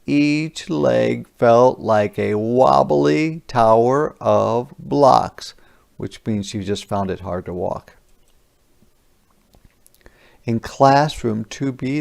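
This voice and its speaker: A man teacher reading a children's picture book aloud, adding simple, deliberate explanations for young listeners. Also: mid-range voice, animated, creaky, darkish tone. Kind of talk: interactive children's read-aloud with explanatory asides.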